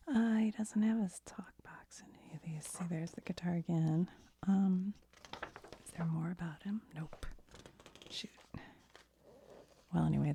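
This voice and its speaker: A woman giving a soft-spoken, mostly whispered ASMR ramble, breathy and conversational, tentative and often trailing off. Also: on the low side, slight background noise. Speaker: ASMR video host.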